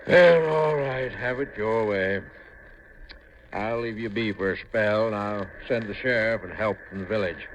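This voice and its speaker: Older country doctor, male actor, low voice, fatherly and practical; vintage radio drama with background hiss. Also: unhurried, highs cut off, close to an everyday voice. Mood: resigned, firm. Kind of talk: 1950s radio drama acting. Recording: noisy vintage 1950 radio recording.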